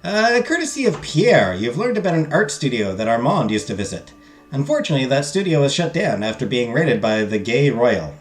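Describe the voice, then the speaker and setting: A man streaming a visual novel, loudly reading the game text aloud and chatting with his viewers. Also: medium pitch, big pitch swings, fairly quick. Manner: loud, talks loudly. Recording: roomy echo, faint game music.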